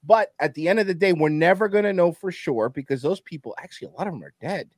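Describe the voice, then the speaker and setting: A man's voice: high-pitched, fast talker, no echo, clean studio sound. A man whose first language is Spanish, talking in a casual political chat as a guest and slipping into mocking impressions. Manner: animated, swings into mocking voices, laughs often. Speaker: podcast guest.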